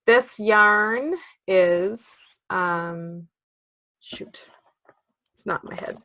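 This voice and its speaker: A woman in her thirties co-hosting a casual knitting podcast, chatty and quick to laugh. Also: medium pitch, fairly bright tone. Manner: chatty, laughs often, lots of fillers. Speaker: podcast co-host.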